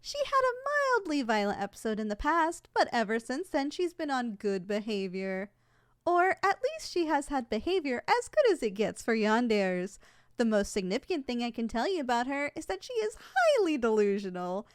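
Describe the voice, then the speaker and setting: Female actor as polite, unflappable saleswoman for an audio roleplay; high, very animated voice, formal sales patter. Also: moderate pace, no room sound, clean studio sound. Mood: polite, salesy. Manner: formal, courteous, matter-of-fact about danger. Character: polite, unflappable saleswoman giving a yandere shop tour.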